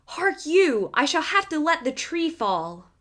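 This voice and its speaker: A big boastful giant from a storybook, acted out by a woman, easily fooled and challenging. The voice is high and swings widely in pitch, with slow, deliberate delivery. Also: big pitch swings, fairly bright tone, heavily acted. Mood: exasperated. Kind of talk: storybook character voice.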